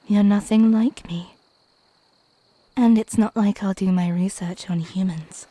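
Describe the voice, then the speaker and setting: A woman playing a flirty, teasing mermaid in an intimate ASMR roleplay, coy and playful with breathy half-whispers. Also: medium pitch, fairly even intonation, measured pace, mellow, dry recording, natural voice. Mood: coaxing.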